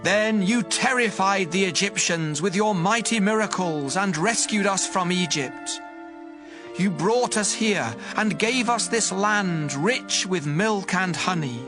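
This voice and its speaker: A devout ancient Israelite man prays in a high voice, very slowly and solemnly. It is a dramatized scripture recital with music clearly behind him. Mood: solemn, reverent. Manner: solemn, deliberate.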